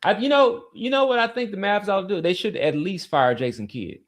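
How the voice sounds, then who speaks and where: A man's voice: high-pitched, lively intonation, fairly quick. A man talking sports casually as a podcast panelist, argumentative and often repeating his points.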